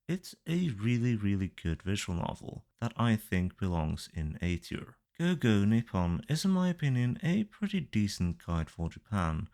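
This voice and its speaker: A man's voice: lowish voice, darkish tone. A man giving casual, opinionated review commentary in a matter-of-fact way. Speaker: visual novel reviewer.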